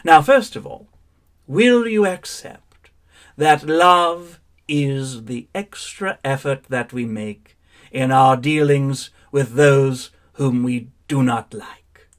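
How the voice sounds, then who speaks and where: A man's voice: medium pitch, slow pace, lightly acted. An actor playing a witty, world-weary elderly male raconteur in an arch, languid theatrical monologue. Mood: arch, droll. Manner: arch, languid, epigrammatic delivery. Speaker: actor in a one-man stage show.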